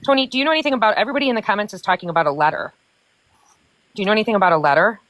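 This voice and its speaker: Fast-talking woman on a live panel. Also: mid-range voice, big pitch swings, a bit bright, no echo, rough recording. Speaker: panelist asking questions. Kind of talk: casual live-stream chat.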